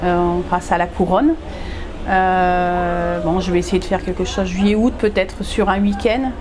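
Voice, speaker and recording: A woman's voice: lowish voice, little pitch movement, moderate pace, background noise. A middle-aged woman who organizes photo events, answering interview questions enthusiastically and with lots of fillers, recorded on a lapel mic in a greenhouse. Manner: chatty, enthusiastic, with many fillers. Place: glass greenhouse, indoors.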